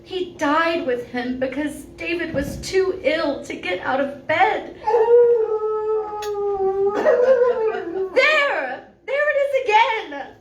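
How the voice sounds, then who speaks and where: A woman's voice: very high-pitched, lively intonation, slow pace, fairly bright tone, exaggerated voice. A woman playing a frightened, haunted widow, fretful and melodramatic in 1940s radio drama style. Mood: distressed, fearful. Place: live stage performance in a venue. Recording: roomy, distant mic, background noise.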